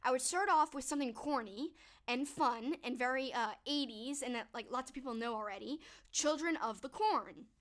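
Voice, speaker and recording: A woman's voice: sits high, moderate pace, a bit bright. A young woman streamer chatting casually with her viewers, upbeat and full of "like" and "um", her voice worn and fading. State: losing her voice. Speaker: streamer answering viewer questions. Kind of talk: casual chat to a live stream.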